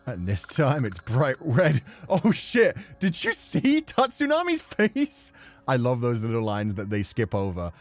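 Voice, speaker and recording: A man streaming a game with playful, expressive, casual commentary. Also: medium pitch, a little slow, soft background music.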